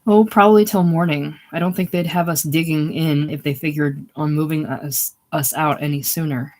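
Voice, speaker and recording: A man's voice: on the high side, fairly even intonation, normal speed, lightly acted. A man voicing an ailing, matter-of-fact infantryman who talks plainly and offhandedly to his comrade in a story reading.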